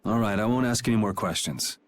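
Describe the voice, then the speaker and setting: A man's voice: fairly low pitch, fairly quick, lightly acted. A man voicing a laid-back, perceptive young hero in a video game, with a casual, wry manner. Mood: calm, probing.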